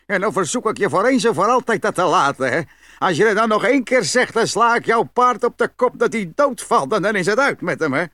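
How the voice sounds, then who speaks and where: A man's voice: sits high, sing-song, a little fast, sharp tone, dry recording, exaggerated voice. A man playing a bossy, blustery, hearty farmer in a fairy tale audio play. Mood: threatening, indignant.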